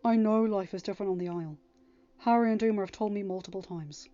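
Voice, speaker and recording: A woman's voice: mid-range voice, fast, dark tone, dull audio, faint music behind, lightly acted. A woman voicing a devoted young royal man, fiercely protective and emphatic, in a storybook reading. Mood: tender, earnest. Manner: emphatic, stern, near a growl when angry.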